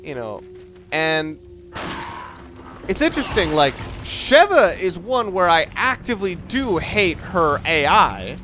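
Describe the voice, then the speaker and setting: A man with a fairly high, forceful, bright voice talks through a video game in a casual let's play style. He digresses and halts mid-thought, opinionated and loosely sweary. There is some noise behind his voice. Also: big pitch swings, takes it slowly. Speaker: let's play gamer commentator. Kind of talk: casual gameplay commentary, opinionated rambling.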